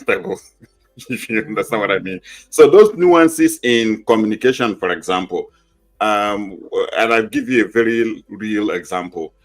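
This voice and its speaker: Deep-voiced man explaining. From Nigeria. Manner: explains with concrete examples, emphatic. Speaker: panelist, teacher of newcomer classes. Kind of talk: explanatory panel talk.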